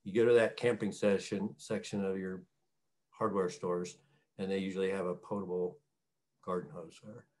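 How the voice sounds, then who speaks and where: A middle-aged farmer with a fairly low voice answers questions on a video call. He is a plain-spoken man, talking in a casual, folksy way. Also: little pitch movement, moderate pace, darkish tone. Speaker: farmer, guest. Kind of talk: casual interview talk. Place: small wood-panelled room at home. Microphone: computer mic on a video call.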